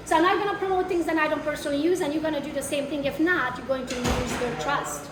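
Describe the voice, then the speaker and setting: Accented woman trainer, high voice, blunt and brash with a live audience, echoey room, distant mic. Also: fairly even intonation, fast talker, a crowd in the background. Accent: foreign. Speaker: real estate marketing trainer. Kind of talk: live workshop talk to an audience.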